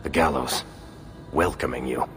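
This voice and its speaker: A man's voice: very deep voice, fairly even intonation, unhurried, fairly bright tone. An actor playing a wry, roguish storyteller in a fantasy game, sardonic and theatrical in his narration and dialogue. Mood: grave storytelling. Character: wry, roguish male storyteller with a flair for tales. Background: prominent music.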